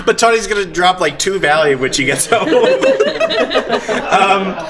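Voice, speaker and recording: A man hosting and game-mastering a live tabletop stream, narrating off the cuff in a halting, animated way. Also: sits high. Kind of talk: improvised game narration and casual hosting talk. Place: busy convention hall, live on stage. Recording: loud convention crowd around the voice.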